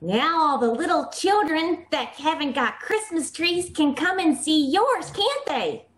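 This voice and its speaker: Very high-pitched eager little girl. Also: lively intonation, a little slow. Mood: excited, chatty. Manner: pestering, repeats questions. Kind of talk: old-time radio comedy acting. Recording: roomy video-call sound.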